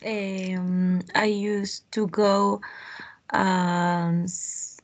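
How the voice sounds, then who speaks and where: Slow, unsure woman student. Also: medium pitch, flat delivery. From Cauca, Colombia. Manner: unsure, searches for English words.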